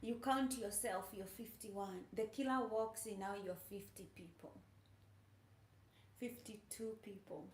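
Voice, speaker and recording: A woman's voice: medium pitch, fairly even intonation, a little slow, a little mellow, reverberant, slight background noise. A woman hosting a livestream, chatting casually and playfully with viewers, teasing and laughing often. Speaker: livestream host.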